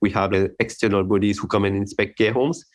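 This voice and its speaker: A man's voice: lowish voice, fairly even intonation, fast talker. A man from Mauritius with a French-Mauritian accent, speaking casually as an interview guest in a chatty way, often with a chuckle. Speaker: interview guest, care home manager. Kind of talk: casual interview answers with personal anecdotes.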